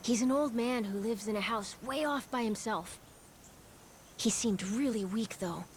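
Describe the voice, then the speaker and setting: A woman plays a curious young crew kid for a video game. The voice is very high, earnest and questioning, with informal phrasing and faint ambient noise behind it. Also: a little slow, close to an everyday voice. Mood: eager, scheming. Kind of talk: video game cutscene acting.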